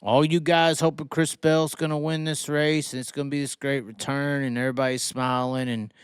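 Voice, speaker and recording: A man's voice: medium pitch, level tone, moderate pace, clean tone, dry recording, studio quality. A man giving opinionated racing commentary in a brash, emphatic, profanity-heavy way, repeating phrases to drive them home. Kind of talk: opinionated solo rant commentary.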